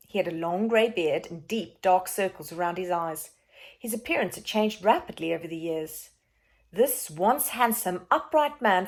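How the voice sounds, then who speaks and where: A woman reading a children's storybook aloud, expressive, dramatic and emphatic in her narration. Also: mid-range voice.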